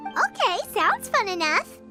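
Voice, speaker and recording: A woman voicing a small, bubbly, eager fairy companion in a video game, with a squeaky childlike voice and exclaiming manner. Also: huge pitch swings, unhurried, bright, forceful tone, soft background music, exaggerated voice. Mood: excited. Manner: refers to itself by name, exclaiming.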